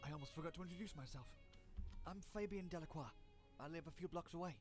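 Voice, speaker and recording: An actor playing a polite, helpful young neighbour in a visual novel. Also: male voice, on the high side, quick pace, soft background music, close to an everyday voice. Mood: polite, apologetic.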